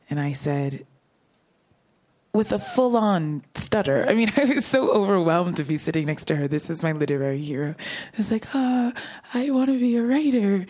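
A woman's voice: low-pitched, darkish tone. A woman novelist talking casually about her writing and answering audience questions, laughing often. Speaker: novelist at a book talk. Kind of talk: conversational talk and audience Q&A.

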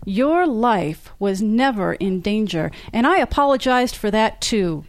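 A woman's voice: mid-range voice, animated, a little slow, dry recording. A woman reading aloud as a cool, controlled man who speaks tightly and mockingly. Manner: clipped, mocking. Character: cool, controlled man, calm but tense.

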